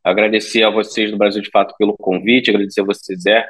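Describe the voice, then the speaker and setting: Casting male guest, lowish voice, easygoing interview chat. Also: little pitch movement, fast, fairly bright tone. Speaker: interview guest, carnival theme writer. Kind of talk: casual interview talk.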